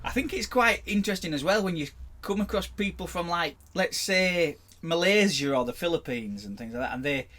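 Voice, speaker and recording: A man's voice: a little high, expressive, brisk, hardly any pauses, a bit bright. A man, a podcast co-host and tattooist, chatting bluntly and often restarting his sentences. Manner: chatty, blunt, repeats words when starting sentences. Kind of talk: casual chat.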